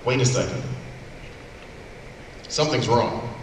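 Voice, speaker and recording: A man's voice: medium pitch, takes it slowly, natural voice. An actor playing a stern, driven male superhero team leader at a live table read of a cartoon. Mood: alarmed. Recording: echoey hall from far off, noisy.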